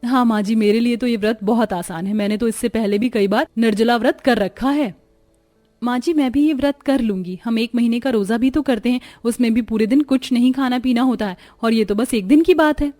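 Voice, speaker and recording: An obedient young bride in a cartoon family story, played by a woman. Her voice is on the high side. She talks earnestly and respectfully, eager to please. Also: fairly quick, warm tone, faint music behind, natural voice. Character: eager, obedient young daughter-in-law, newly married.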